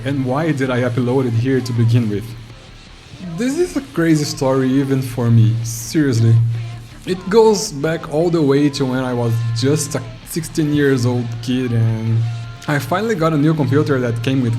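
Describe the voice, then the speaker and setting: A man's voice: medium pitch, expressive, moderate pace, darkish tone, soft background music, low-quality recording. Brazilian man telling a nostalgic story. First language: Portuguese. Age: 30s. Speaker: YouTuber. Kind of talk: scripted retrospective narration.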